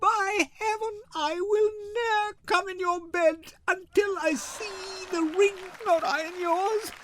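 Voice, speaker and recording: A man voicing a poised, witty noblewoman wife in measured, theatrical Shakespearean verse, teasing and quick to indignation. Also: very high voice, steady tone, fairly bright tone, pitched above the performer's own voice, exaggerated voice. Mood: indignant.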